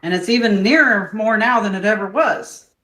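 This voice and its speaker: A woman's voice: medium pitch, normal speed, bright, forceful tone, roomy sound, rough recording. An older woman, chatting earnestly while she cooks at home and drifting into preaching. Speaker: host. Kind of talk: casual chat while cooking. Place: home kitchen.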